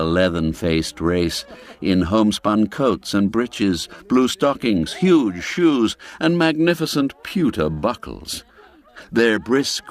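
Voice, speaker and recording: A man narrating a classic literary tale in a theatrical, savoring, ornate storytelling style. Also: medium pitch. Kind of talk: scripted literary audiobook narration. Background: background music.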